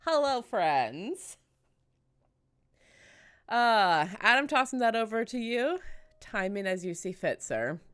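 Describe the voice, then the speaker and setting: A woman's voice: fairly high pitch, very animated, takes it slowly, pauses often, a bit bright, clear, resonant tone. A woman hosting a tabletop livestream, bubbly and chatty as she handles the ad breaks. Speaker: stream host. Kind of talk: casual livestream chat.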